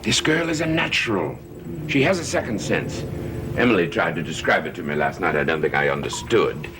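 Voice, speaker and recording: An actor playing a courteous, scheming gentleman in a gothic television soap, with a suave, formal, theatrical manner. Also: sits low, lively intonation, fairly quick, noisy background. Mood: insistent, persuasive. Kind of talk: 1970s gothic TV soap opera acting. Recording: old TV soundtrack with music underneath.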